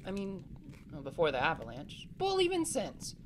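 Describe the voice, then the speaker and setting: A woman's voice: lowish voice, wildly swooping pitch, moderate pace, a little hiss or hum. A woman playing a protective, caring young boy, a capable big brother, in a family audio drama, speaking in an earnest, reassuring way. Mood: gently probing.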